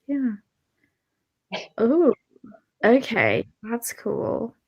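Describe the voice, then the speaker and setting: A woman's voice: a little high, huge pitch swings, extremely slow, breathy edge, highs cut off. A woman co-hosting a book readalong live show in casual chat, informal and tentative as she thinks aloud.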